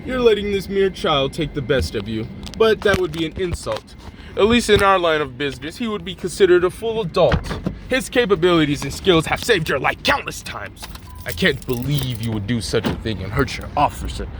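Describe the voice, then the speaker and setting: A man's voice: fairly high pitch, animated, brisk, lightly acted. A man voicing a scornful Russian mercenary commander in a put-on Russian accent, lecturing with condescension over traffic noise.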